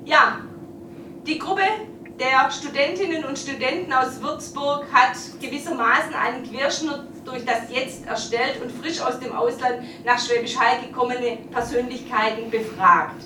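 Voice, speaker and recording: Amtsträgerin bei der Eröffnung, hohe Stimme, sachlich und ernst, in halligem Saal. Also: female voice, high voice, measured pace. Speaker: local official opening an exhibition. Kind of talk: formal welcome speech. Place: event hall. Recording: echoey hall, distant, noisy.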